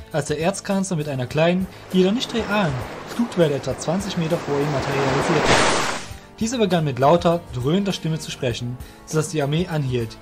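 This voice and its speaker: A man reading scripted fantasy story narration as the narrator of an audio drama. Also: a little high.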